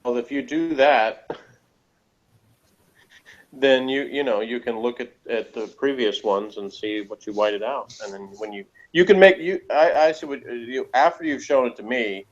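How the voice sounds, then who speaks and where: A man with a middling pitch, heard through slightly muffled, lo-fi audio. He chats off the cuff as a review co-host, halting and circling back, often at his own expense. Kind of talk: casual unscripted review chat. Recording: dull, lo-fi audio.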